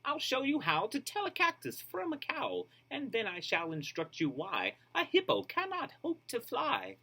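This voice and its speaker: An excitable, bouncy schoolteacher from a children's storybook, acted out by a man. She chirps in a raised, sing-song voice that swoops around and has a slightly husky edge. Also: very high voice, moderate pace, pitched above the performer's own voice, heavily acted. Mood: excited, chirpy.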